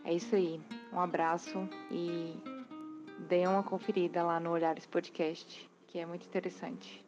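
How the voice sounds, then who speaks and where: A woman's voice: on the low side, flat delivery, normal speed. A Brazilian woman podcaster giving a casual recorded testimonial as a guest. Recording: dull lo-fi voice recording. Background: clear guitar music.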